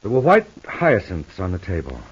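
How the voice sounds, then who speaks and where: An actor playing a tender, brooding husband with an American accent, musing haltingly in a 1950s radio soap opera. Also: male voice, sits low, wildly swooping pitch, husky, heavily acted. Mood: gentle. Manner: halting, musing aloud to himself. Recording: muffled old radio recording.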